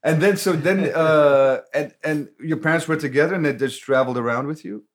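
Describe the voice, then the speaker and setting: A man hosting a casual interview, relaxed and chatty with frequent affirmations. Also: on the high side, a little fast. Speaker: podcast host.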